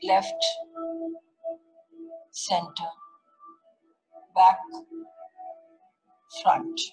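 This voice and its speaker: Very slow woman guiding meditation over music. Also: fairly low pitch, big pitch swings, gravelly, rough recording. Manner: gentle, unhurried, repetitive short phrases with long pauses. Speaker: meditation guide. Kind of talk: guided meditation, slow calm instructions. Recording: music behind the voice.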